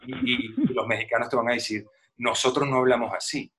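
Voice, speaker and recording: Venezuelan-accented man, bright forceful voice, animated podcast guest, talking at length. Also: medium pitch, a little fast. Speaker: actor guest. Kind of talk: casual podcast interview.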